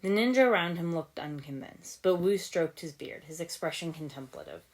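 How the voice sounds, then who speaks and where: A woman's voice: lowish voice, lively intonation, moderate pace. A woman narrating a scripted story as an amateur audiobook reader.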